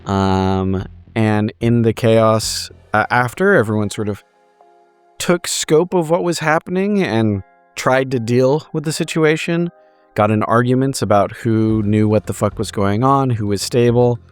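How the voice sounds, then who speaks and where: A man's voice: mid-range voice, animated, unhurried, clear, resonant tone, no echo, soft background music. A man who plays in a tabletop game, giving a conversational story recap with wry, literary and profane wording.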